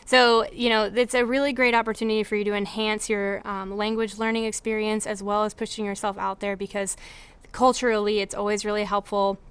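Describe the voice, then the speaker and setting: A woman's voice: medium pitch, level tone, fairly quick, clean tone. A young woman advising students on study abroad, speaking earnestly with frequent filler words. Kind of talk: informational presentation.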